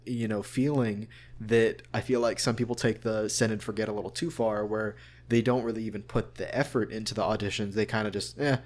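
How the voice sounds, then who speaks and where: A man's voice: lowish voice, fairly even intonation, brisk, darkish tone. An American man from central Arkansas chatting casually in an interview, thoughtful and rambling as he circles back on his phrasing. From central Arkansas, United States. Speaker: voice actor being interviewed.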